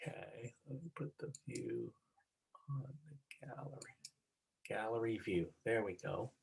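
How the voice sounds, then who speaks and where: A woman's voice: a bass voice, unhurried, vocal fry, a little mellow, slightly rough. A woman teacher chatting casually as she hosts an online class on a video call, encouraging and often repeating back what others say.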